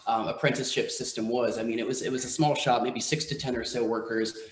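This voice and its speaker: A man's voice: medium pitch, fairly even intonation, fast, a little hiss or hum. A man speaking as a museum curator on a panel, hesitant with many fillers, recorded in a museum room. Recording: echoey room.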